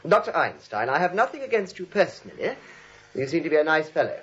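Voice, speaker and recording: An exasperated excitable nephew in an old radio comedy, super swoopy voice, muffled vintage recording. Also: male voice, a little high, fast, slightly rough, full character voice. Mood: mocking, overconfident. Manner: excitable, theatrical. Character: frantic, exasperated young theatre critic nephew.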